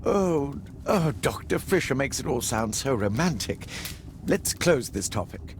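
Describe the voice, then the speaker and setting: An actor playing a courteous, earnest, sickly aristocratic philanthropist in a video game, genteel and hesitant. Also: male voice, medium pitch, very animated, a little slow, slight background noise.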